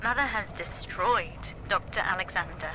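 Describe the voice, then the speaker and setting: A woman's voice: mid-range voice, normal speed, lightly acted, low-quality recording. A woman playing an earnest, defiant young woman in a video game, speaking in a solemn, intense and articulate way. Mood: grave defiance. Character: earnest, defiant young woman rebelling against her mother. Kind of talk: dramatic video game narration. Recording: loud music behind the voice.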